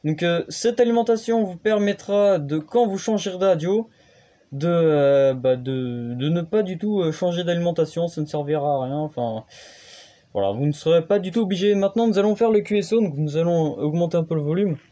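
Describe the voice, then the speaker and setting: A man's voice: fairly high pitch, brisk, warm tone. A man hosting his own amateur radio channel, explaining things casually and hesitantly, with many fillers and restarts.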